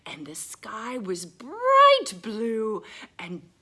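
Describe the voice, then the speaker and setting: A woman voicing a sweet, excitable lady who reads to children, drawing out words with exaggerated wonder. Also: a little high, very slow, pitched above the performer's own voice, heavily acted. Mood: hushed wonder. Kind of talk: children's story reading.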